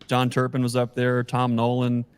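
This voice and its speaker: A man with an average-pitched voice is hosting a livestream. He chats casually with his guests, as one would among friends. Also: completely flat delivery, moderate pace, clear, resonant tone. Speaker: host of a beekeeping livestream. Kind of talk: casual chat.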